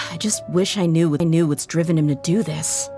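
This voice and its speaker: A woman's voice: deep voice, normal speed, music in the background, natural voice. A woman voicing a tough young female desert mercenary who talks in a blunt, wry, plain-spoken way, in game voice acting.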